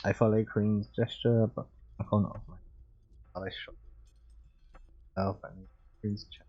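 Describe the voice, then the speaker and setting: A man livestreaming a game and reading visual novel narration aloud in a relaxed, chilled manner. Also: on the low side, moderate pace, breathy edge, highs cut off. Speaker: game streamer.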